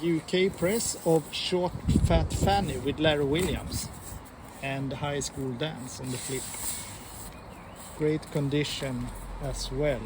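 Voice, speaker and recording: A man casually presenting to viewers as a record collector host, in a halting, unhurried way. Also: fairly high pitch, steady tone, heavy background noise. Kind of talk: casual showcase vlog talk.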